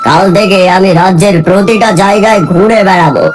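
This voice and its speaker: A woman's voice: mid-range voice, fairly quick, lightly acted. Woman playing a middle-aged king, stern, caring, earnest, firm, light music behind. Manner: firm and earnest, vowing justice.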